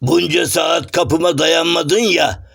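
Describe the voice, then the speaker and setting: A man voicing a grumpy, blunt, mocking folk clown in a children's cartoon, with rough, exclamatory comic retorts. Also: on the high side, steady tone, slow, fairly bright tone, faint music behind, exaggerated voice. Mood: grumpy, exasperated. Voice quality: gravelly, rough.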